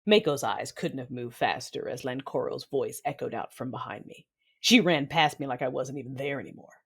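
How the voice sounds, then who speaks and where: A woman's voice: extremely low pitch, moderate pace, slightly rough, full character voice. A woman acts out a proud Sith warlord mother in a space-opera audio drama. She is commanding and intense, with a vengeful temper, and she tells her own story in the first person. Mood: brooding. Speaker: narrator and lead character.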